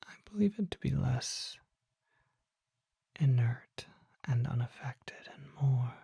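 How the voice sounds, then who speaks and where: Casting: mysterious vampire merchant played by an ASMR performer, suave and unhurried, hushed dark whisper with gravelly creak. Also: mid-range voice, lively intonation, no echo, clean studio sound, exaggerated voice. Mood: hushed, intrigued. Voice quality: breathy, whispery. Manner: measured, formal, coy rhetorical questions. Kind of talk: ASMR roleplay, soft whispering.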